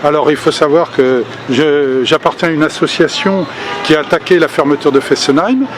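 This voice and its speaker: A man's voice: a little high, moderate pace. An older man speaking at a public meeting, earnest and hesitant, with many fillers and restarts. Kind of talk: spontaneous political speech. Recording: outdoor recording with street noise.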